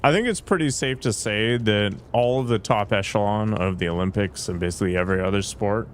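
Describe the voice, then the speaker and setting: A man's voice: lowish voice, fairly quick, a little hiss or hum. A man chatting casually as he streams a game, musing aloud with fillers and trailing thoughts. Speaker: let's play streamer. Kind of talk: casual gameplay commentary.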